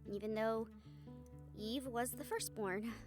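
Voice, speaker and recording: Woman playing a young female friend, higher-pitched, wry, caring, casual and hesitant, intimate fantasy audio drama, music underneath. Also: slow pace. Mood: candid, wry. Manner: casual, hesitant, chuckling, mildly sweary. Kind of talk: audio roleplay acting, intimate one-sided conversation with listener.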